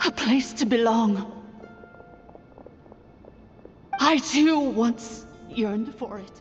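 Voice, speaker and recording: A woman's voice: a little high, takes it slowly. A woman playing a proud, bitter female zealot in a game, with dramatic, emphatic delivery. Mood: wistful. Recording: muffled game audio with clear music.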